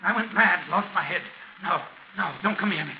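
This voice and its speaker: Frantic high-pitched terrified murderer. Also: male voice, moderate pace, vocal fry. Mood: frantic pleading. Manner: frantic, pleading, repetitive. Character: terrified murderer pleading with his victims' ghosts. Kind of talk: 1940s radio horror melodrama. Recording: muffled, noisy 1940s radio recording.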